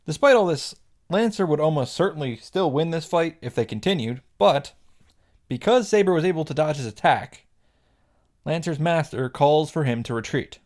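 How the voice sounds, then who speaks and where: A man's voice: fairly high pitch, animated, normal speed, darkish tone. A man giving casual, scripted commentary as a video creator, wry and joking with sarcastic asides and some swearing. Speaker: video creator recapping and reacting to a game. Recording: music behind the voice. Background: background music.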